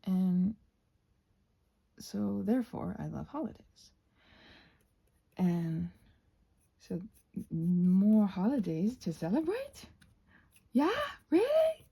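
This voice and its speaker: A soft-spoken woman chatting casually in an ASMR craft ramble, often slipping into whispers as she hesitantly thinks aloud. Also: medium pitch, very animated, slow pace, gravelly, pauses often, breathy edge. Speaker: host of a craft ramble channel. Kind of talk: casual rambling chat while painting, ASMR.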